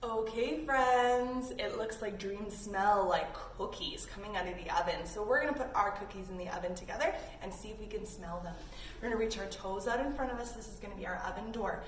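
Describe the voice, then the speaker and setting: A woman's voice: medium pitch, brisk. A woman instructing young children in a play-along yoga lesson, encouraging and playful, with little sound effects. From Canada. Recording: roomy, distant mic with faint noise.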